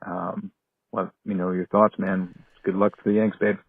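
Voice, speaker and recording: A man's voice: low voice, level tone, moderate pace, vocal fry, slightly rough, no room sound. An American man leaving a casual, analytical sports fan's voicemail over the phone. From North Carolina. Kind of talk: casual tactical fan analysis. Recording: dry, tinny phone voicemail.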